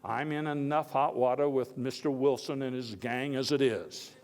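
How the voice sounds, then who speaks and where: A long hall reverb surrounds an actor as an elderly male statesman giving a dramatized historical speech. His pitch is middling, his delivery halting and conversational yet oratorical. He comes across as proud, folksy and full of opinions. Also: darkish tone, natural voice. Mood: indignant. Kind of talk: live dramatized first-person historical speech. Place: indoor hall before a live audience.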